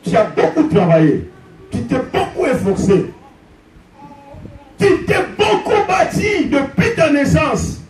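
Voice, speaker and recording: A man delivers an impassioned sermon, his voice sitting extremely high for a man. He shouts and hammers phrases over and over. The sound is echoey and distant, with noise underneath. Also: big pitch swings, slow pace, long pauses, soft background music. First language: Portuguese. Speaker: pastor. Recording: echoey room, distant mic, background noise.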